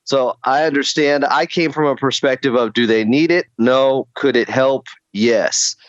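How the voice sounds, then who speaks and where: A man's voice: medium pitch, measured pace, no echo, lo-fi sound. A man who hosts radio sports shows, guesting on a podcast and breaking down basketball fluently. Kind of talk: casual sports podcast chat.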